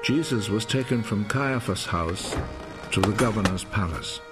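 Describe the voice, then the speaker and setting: Male film narrator, lower register, solemn measured scripture storytelling, prominent music underneath. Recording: film score behind the voice.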